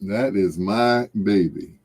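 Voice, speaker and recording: A man's voice: fairly low pitch, slow, darkish tone, husky. A middle-aged or older American man chatting casually and jovially with viewers on a livestream, with a folksy way of drawing out and repeating phrases. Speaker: livestream host.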